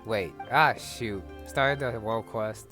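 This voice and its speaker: A man's voice: lowish voice, slow, pushed and bright, soft background music. A man giving casual let's-play commentary, muttering wry asides to himself. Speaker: gaming channel host.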